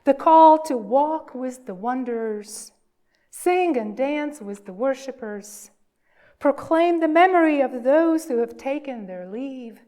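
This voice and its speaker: A woman leads a church service as its minister. Her voice sits a little high and moves very slowly. She reads with reverence, stressing phrases and leaving deliberate pauses. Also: dark tone. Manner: measured, emphatic, with deliberate pauses. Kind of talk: worship address and reverent reading.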